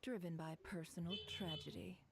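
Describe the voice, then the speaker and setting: Aloof proud seer, low, creaky, sardonic, breathy edge, game drama. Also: female voice, normal speed, background noise. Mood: wry, haughty. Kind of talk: video game drama acting.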